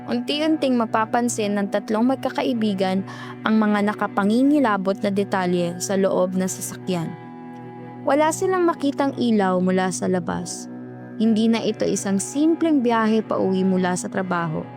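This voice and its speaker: A woman's voice: medium pitch, expressive, moderate pace, music in the background. A woman with a Filipino accent reading scripted, dramatic horror narration.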